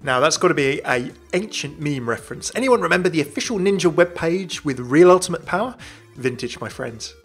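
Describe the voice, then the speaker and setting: Higher-voiced young man narrating over music. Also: expressive, a little fast, slightly rough. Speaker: video host and narrator. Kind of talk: scripted fan video narration.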